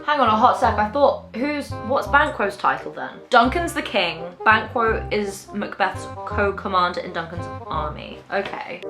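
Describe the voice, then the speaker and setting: A woman's voice: medium pitch, lively intonation, normal speed, hardly any pauses, music in the background. A young British woman talking casually to camera as a vlogger, playful and informal, often thinking aloud. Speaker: vlogger presenting a creative challenge. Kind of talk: casual chatty vlog talk to camera.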